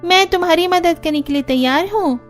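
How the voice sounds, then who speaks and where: A woman's voice: extremely high pitch, fairly quick, soft background music. A woman voicing an earnest, helpful young sister-in-law in a Hindi cartoon story. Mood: willing, curious.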